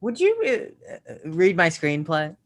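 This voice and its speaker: A timid, eager young man, voiced by a male actor in a screenplay table read. He stammers awkwardly in a high, very animated voice. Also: unhurried, exaggerated voice. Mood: nervous, hesitant. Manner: hesitant, stammering.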